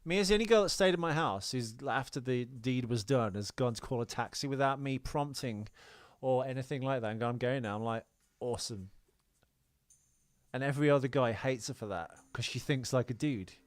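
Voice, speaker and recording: A man's voice: medium pitch, a little fast, no echo. A British man co-hosting a podcast in casual personal chat, talking bluntly and swearing freely. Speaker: podcast co-host.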